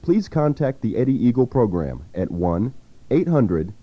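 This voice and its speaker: A man's voice: mid-range voice, sing-song, measured pace. A man reading a scripted radio public service announcement, on a dull recording.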